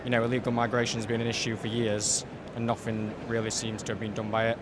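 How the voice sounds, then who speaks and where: Flat, fast-talking man outdoors. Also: fairly low pitch. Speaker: party conference attendee. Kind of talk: street interview. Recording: outdoor street noise.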